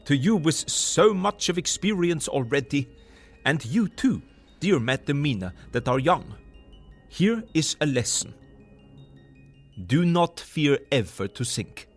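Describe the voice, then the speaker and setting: An actor playing an older foreign professor in an audio drama, earnest and emphatic, with halting foreign syntax. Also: male voice, a little high, expressive, faint music behind.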